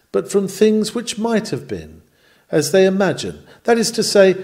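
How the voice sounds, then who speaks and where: Man reading a sermon, animated and high-pitched. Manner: emphatic, measured, oratorical phrasing. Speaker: sermon reader. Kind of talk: read-aloud sermon, rhetorical preaching delivery.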